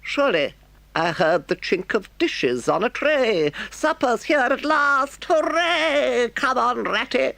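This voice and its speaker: A man's voice: very high-pitched, animated, slow, vocal fry, pushed and bright, no echo, pitched above the performer's own voice, heavily acted. A man voicing a vain, boastful toad in an audiobook, gushing and melodramatic in manner. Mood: airy, gleeful. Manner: melodramatic, gushing, self-pitying. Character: vain, boastful, theatrical male toad, mock-humble and excitable.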